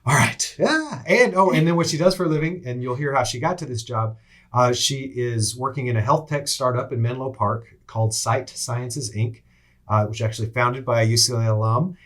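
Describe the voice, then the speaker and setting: A man's voice: medium pitch, a little fast, fairly bright tone. A man hosting an interview, introducing his guest and asking questions, with some hesitant restarts. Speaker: podcast host.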